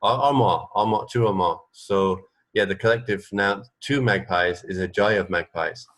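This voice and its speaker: A man's voice: on the low side, moderate pace, fairly bright tone. A man co-hosting a radio show in casual chat, hesitating and repeating phrases as he goes. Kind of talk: casual chat, trading fun facts.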